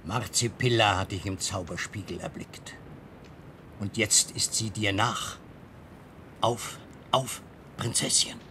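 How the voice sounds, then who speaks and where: Deep, bright-toned fairy-tale protector. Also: male voice, takes it slowly, pauses often, full character voice. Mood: urgent. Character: gentle fairy-tale helper, protective and fond. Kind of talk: radio play acting. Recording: rumbling background noise.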